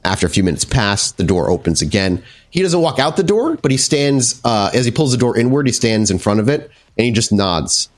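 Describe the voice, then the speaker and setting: A man's voice: mid-range voice, big pitch swings, fairly quick, hardly any pauses. A man narrating a tabletop horror game as storyteller, improvising descriptions in a conversational way with some casual swearing.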